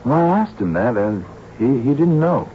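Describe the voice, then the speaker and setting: An actor playing a dutiful, plain-spoken police sergeant in an old-time radio drama. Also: male voice, mid-range voice, very animated, moderate pace, close to an everyday voice. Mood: reporting. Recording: dull old radio recording, faint noise.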